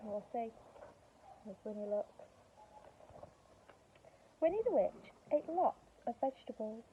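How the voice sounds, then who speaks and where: A woman's voice: a little high, lively intonation, unhurried, phone-quality sound, slight background noise. A woman speaking to young children as a teacher and story reader, explaining simply, with questions and emphasis. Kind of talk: speaking to young children, reading a picture book.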